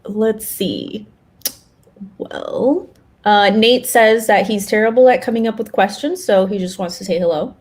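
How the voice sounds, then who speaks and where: Unhurried woman co-hosting a podcast. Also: mid-range voice. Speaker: podcast co-host reading audience questions. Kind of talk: casual chat, reading out questions.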